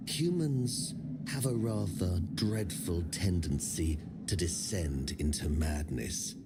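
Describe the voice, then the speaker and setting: Loud ambient noise sits behind a very deep male voice, a haunted, world-weary prisoner in a dark fantasy game. He speaks slowly and theatrically, in old-fashioned phrasing, brooding and hushed at the ends of phrases.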